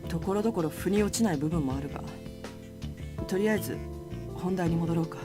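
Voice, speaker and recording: A woman's voice: fairly low pitch, racing, over music, natural voice. An actress playing a stern, composed woman in a visual novel, speaking solemnly and bluntly. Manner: solemn, blunt, matter-of-fact. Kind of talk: Japanese visual novel voice acting.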